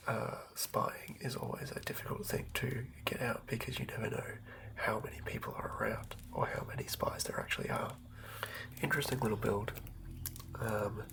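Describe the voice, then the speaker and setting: A man giving a whispered, improvised ASMR narration as a game master, close to the mic, murmuring in a halting way as he thinks aloud. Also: fairly low pitch, gravelly, low-quality recording.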